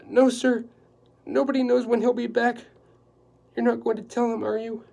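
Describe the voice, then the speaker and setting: A man reading aloud and voicing a nervous, tearful woman housekeeper who talks in a high, fretful whimper. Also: little pitch movement, moderate pace, creaky, warm tone. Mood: anxious, fretful.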